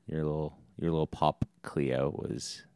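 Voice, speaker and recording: Very deep-voiced man, smooth. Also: flat delivery, measured pace, dark tone, dry recording, studio quality. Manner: joking, storytelling, easygoing. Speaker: podcast co-host. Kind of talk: casual chat.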